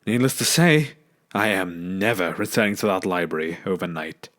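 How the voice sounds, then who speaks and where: A man's voice: deep voice, moderate pace, a bit bright. A man reading a scripted first-person scary story as a narrator. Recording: background music under the voice. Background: music.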